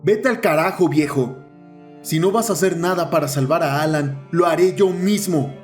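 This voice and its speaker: A man's voice: a little high, moderate pace, soft background music, pitched above the performer's own voice, close to an everyday voice. A man voicing an angry, defiant schoolboy in a dramatized horror story.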